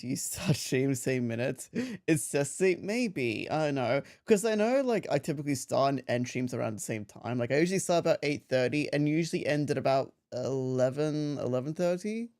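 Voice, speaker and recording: A man's voice: medium pitch, darkish tone. A young man from Australia chatting casually on a live game stream, rambling with frequent laughs and restarts. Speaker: livestreamer. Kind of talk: casual live-stream chat while gaming.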